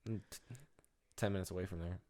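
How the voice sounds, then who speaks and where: A man's voice: sits low, steady tone, brisk, a crowd in the background. A young American man talking casually among friends in a slangy, conversational way. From Michigan, United States.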